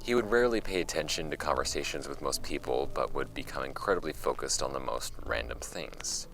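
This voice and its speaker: An actor playing a wry archive host, reading old case files aloud in a measured, conversational way for a scripted audio drama. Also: male voice, extremely low pitch, creaky, rough recording. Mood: reflective, measured. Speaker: host of a fictional case-file podcast. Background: music under the voice.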